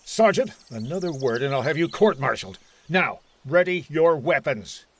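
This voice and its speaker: Man playing a commanding, lively-pitched army colonel. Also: normal speed. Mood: stern, commanding. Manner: barking, authoritative. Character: hard-line, commanding army colonel, ruthless and suspicious. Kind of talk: old-time radio sci-fi drama acting.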